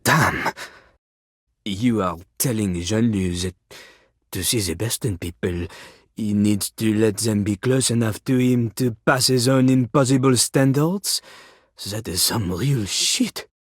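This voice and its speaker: An actor playing a boastful French talking toilet in a video game, a pompous, theatrical rapper with a French accent. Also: male voice, lowish voice, slow, no echo, studio quality. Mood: grudgingly impressed. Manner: pompous, theatrical, refers to himself in third person. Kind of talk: video game character acting.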